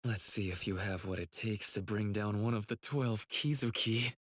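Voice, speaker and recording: A man playing a cold, controlling young spider demon in an anime game, speaking softly and with condescension. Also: lowish voice, no echo, studio quality, natural voice. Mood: cold, menacing. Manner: menacing, condescending, deliberate. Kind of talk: English anime game voice acting.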